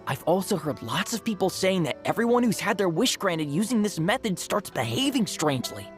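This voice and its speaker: A man voicing a cautious young man passing on rumors in a video game, hushed and wary. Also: high voice, very animated, fairly quick, soft background music.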